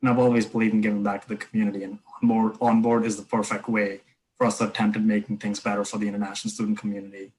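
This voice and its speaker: Low flat-voiced young man from India. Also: racing, rough recording. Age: 20s. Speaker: startup co-founder. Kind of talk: panel interview answers.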